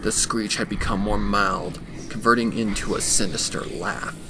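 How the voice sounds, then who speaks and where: A man narrating a dark story from a script in a dramatic style. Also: fairly low pitch, fairly even intonation, moderate pace, background noise. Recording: music behind the voice.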